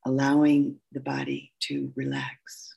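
Slow, husky woman guiding meditation. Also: rumbling low, sing-song. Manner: gentle, unhurried, with long pauses. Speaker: meditation teacher. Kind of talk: guided meditation instruction.

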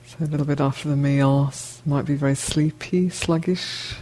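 A woman teaching a guided meditation, speaking gently and unhurriedly in short phrases with long pauses between them. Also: deep voice, fairly even intonation, low-quality recording.

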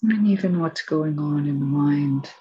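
A woman meditation teacher giving guided instructions in a soft, gentle, unhurried way. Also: low voice, slight background noise. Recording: dull, muffled lo-fi recording.

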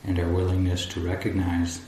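A man guiding a meditation as a teacher, speaking calmly and deliberately in short phrases with long pauses. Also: sits low. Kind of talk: guided meditation instruction. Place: large echoey indoor room at a retreat. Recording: roomy echo, faint background noise.

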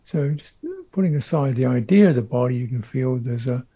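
A man with a husky, creaky voice leads a guided meditation. He speaks as a teacher in halting, thoughtful phrases, leaving long gaps between them. The recording is slightly dull. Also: mid-range voice. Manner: halting, reflective, short phrases with long pauses.

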